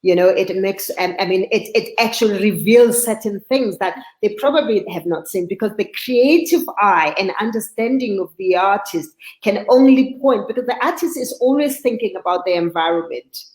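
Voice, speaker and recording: A woman's voice: medium pitch, lively intonation, moderate pace, few pauses, darkish tone. South African woman in her sixties, echoey. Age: 60s. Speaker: guest, arts advisor. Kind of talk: conversational online interview.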